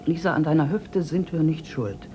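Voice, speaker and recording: An actress playing the miller's tired wife, speaking quietly and firmly to calm others. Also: female voice, sits low, normal speed, lo-fi sound, slight background noise, natural voice. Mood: weary, firm.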